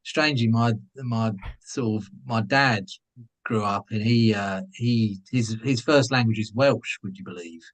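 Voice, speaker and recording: A man's voice: on the low side, a little slow, low-quality recording. A man chatting casually as a guest, modest and self-deprecating about himself. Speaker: returning guest on the show. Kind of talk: casual chat.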